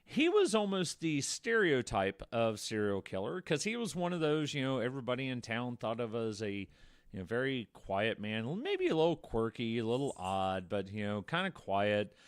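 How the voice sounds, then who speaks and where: A man's voice: medium pitch, very animated, moderate pace, clear, resonant tone. A man co-hosting a podcast, telling true-crime stories in a conversational way with wry, darkly joking asides. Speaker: podcast co-host.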